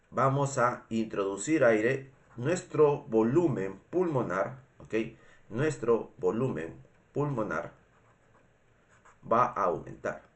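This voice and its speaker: A man with a Peruvian Spanish accent and a congested voice, giving an informal, didactic medical lecture with frequent repetition and checking questions. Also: on the low side. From Peru. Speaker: medical instructor.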